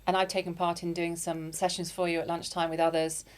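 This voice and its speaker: A woman's voice: lowish voice, level tone, brisk. A woman hosting an interview podcast, encouraging her guest and chiming in with frequent affirmations. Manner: warm, encouraging, with lots of affirming "yeah"s. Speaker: podcast host. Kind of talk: conversational interview.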